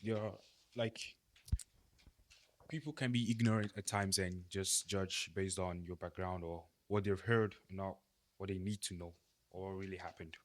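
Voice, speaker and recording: A young man from Nigeria giving slow, measured, brief answers in a casual youth discussion. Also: sits low, fairly even intonation. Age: young adult. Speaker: youth podcast panelist. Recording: faint background noise.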